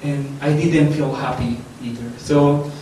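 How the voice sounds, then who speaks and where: A young man speaks from far off in a very echoey room. He gives a personal testimony slowly and haltingly, with nervous, self-deprecating delivery. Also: on the high side, fairly even intonation, dark tone. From Colombia. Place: reverberant room, speaking to a gathering. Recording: echoey room, distant mic, faint noise.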